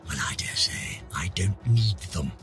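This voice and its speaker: A man's voice: low-pitched, expressive, measured pace, a bit bright, soft background music. An actor voicing a pompous, vain older British scientist, theatrically narrating his journal for a game with haughty, florid melodrama. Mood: scheming. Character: pompous, vain, aging male scientist, indignant and obsessive.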